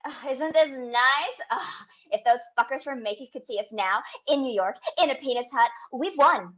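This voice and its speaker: A woman's voice: high voice, moderate pace. A woman acting a brash, pushy young tech entrepreneur with a bossy, gushing manner in a comedy table read, on a lo-fi microphone. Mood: boastful. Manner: loud-mouthed, bossy, gushing. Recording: dull, lo-fi remote microphone.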